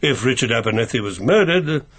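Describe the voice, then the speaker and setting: An actor playing a proper, reserved older English family solicitor, precise and a little hesitant, in a radio mystery drama. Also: male voice, mid-range voice, animated, fast talker, a bit bright, breathy edge. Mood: grave, confiding.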